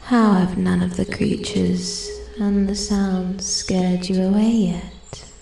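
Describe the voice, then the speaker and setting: A woman as a slow, hushed ancient forest spirit. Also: medium pitch. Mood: intrigued, hushed. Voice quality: soft, breathy, often dropping to whisper. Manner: slow, deliberate, archly formal. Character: ancient female forest spirit, intrigued, imperious, quietly menacing. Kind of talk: soft-spoken ASMR roleplay. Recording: echoey, with steady ambient forest noise. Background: forest ambience, birds and animal sounds.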